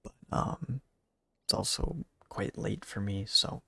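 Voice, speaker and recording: A man commentating his gameplay in a casual, rambling way, speaking quietly late at night with a raspy, slightly congested voice. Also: on the low side, lively intonation, slow pace, no echo. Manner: quiet, rambling with fillers and restarts. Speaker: gaming YouTuber.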